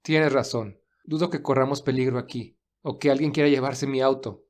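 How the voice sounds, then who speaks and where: Man acting a soft-spoken, protective young man. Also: medium pitch, steady tone, normal speed, close to an everyday voice. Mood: calm, caring. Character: Albert, calm, protective, gentle young man.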